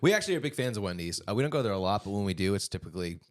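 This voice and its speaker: A man's voice: fairly low pitch, sing-song, very fast. A man co-hosting a podcast, chatting casually and telling playful, jokey stories. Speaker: podcast co-host. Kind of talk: casual chat.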